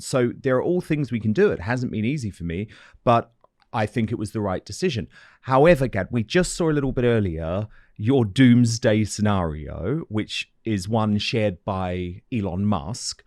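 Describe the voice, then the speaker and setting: A man hosts the show in a lowish voice. He asks pointed, indignant questions, and his sound is dry with no room echo. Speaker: host. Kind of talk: interview host.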